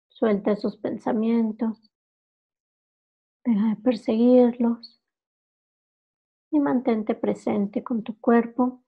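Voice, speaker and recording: Woman, slightly high voice, meditation guide, calm instructional phrasing, unhurried, muffled lo-fi recording. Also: gravelly, breathy edge.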